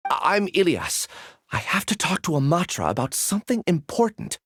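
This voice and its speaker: Distressed male survivor, strained, stubborn, urgent, animated pitch, video game drama. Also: moderate pace, a little hiss or hum. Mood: desperate urgency.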